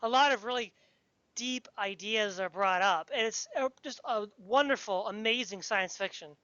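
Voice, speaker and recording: A woman's voice: mid-range voice, normal speed, fairly bright tone, no echo. A woman interviewing someone on a podcast in casual, geeky, enthusiastic conversation. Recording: dull, lo-fi podcast audio.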